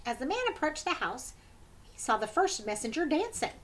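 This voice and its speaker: High-voiced woman telling children a story. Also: moderate pace, a little hiss or hum. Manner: animated, expressive, prompts young listeners with questions. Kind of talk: interactive children's story reading.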